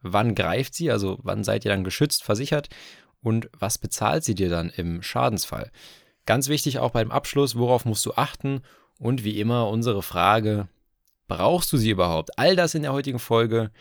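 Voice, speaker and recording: A man co-hosting a podcast, explaining topics in a brisk, casual way and laying out definitions slowly and deliberately. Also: on the low side, expressive, vocal fry, dry recording, clean studio sound. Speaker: podcast co-host.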